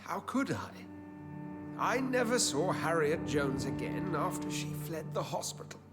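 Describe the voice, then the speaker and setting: Genteel, animated doctor, higher-voiced. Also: male voice, measured pace, loud music behind the voice. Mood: defensive. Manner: genteel, formal, pleading and evasive. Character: anxious, defensive English doctor with secretive scientific zeal. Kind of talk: dramatic video game cutscene acting.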